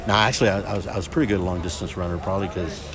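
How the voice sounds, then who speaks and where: A middle-aged man hosting a casual livestream of a football scrimmage, loud, talkative and joking. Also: deep voice, fairly even intonation, racing. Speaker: livestream sports host. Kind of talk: casual sports commentary chat. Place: outdoors at a football field. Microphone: phone camera. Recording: crowd noise at outdoor game. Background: crowd at a game.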